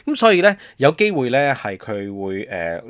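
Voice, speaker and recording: Animated Hong Kong man hosting a quiz. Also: medium pitch, moderate pace, no room sound, studio quality. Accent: Hong Kong Cantonese. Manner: explanatory, playful, prompting with questions and hints. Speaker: radio host and quizmaster. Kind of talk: casual promotional quiz chat.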